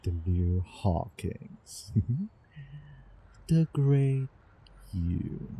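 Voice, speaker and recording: Obsessive, adoring time-traveler played by a man for intimate audio drama: very mellow, soft-edged timbre, swooping intonation, hesitant and nervous. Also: low voice, lively intonation, full character voice. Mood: admiring, delighted. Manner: halting, nervous, breathy chuckles. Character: doting, obsessive male time-traveling student in love. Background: soft background ambience.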